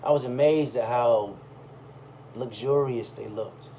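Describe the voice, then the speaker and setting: A man tells a personal story from a written text in a medium-pitched voice. His delivery is conversational and expressive, leaning on pauses and emphasis. There is faint noise behind him. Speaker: reader of a personal essay. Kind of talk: expressive first-person storytelling, read aloud.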